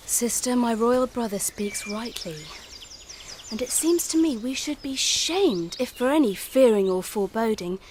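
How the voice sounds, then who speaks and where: A regal young queen, acted by a woman, fairly high in pitch and very animated. Her speech is courtly and archaic, in fantasy radio play style, with faint noise behind. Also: a little slow. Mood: earnest. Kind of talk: theatrical fantasy audio drama.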